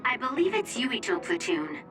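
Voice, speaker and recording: A woman's voice: fairly high pitch, big pitch swings, moderate pace, distant mic, music in the background. A woman playing an earnest, composed young support operator in an English video game dub. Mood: alert, informative.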